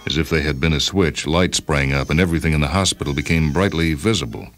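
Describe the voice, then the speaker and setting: Male narrator, extremely deep pitch, dramatic story reading, faint music behind. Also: fast talker, fairly bright tone. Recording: music bed under the voice.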